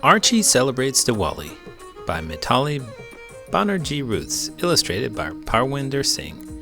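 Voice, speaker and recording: A man reading a children's storybook aloud in an expressive, dramatic way. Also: medium pitch, normal speed, music in the background. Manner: expressive, dramatic delivery with big emotions. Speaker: elementary school teacher reading children's books aloud. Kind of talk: animated storybook read-aloud.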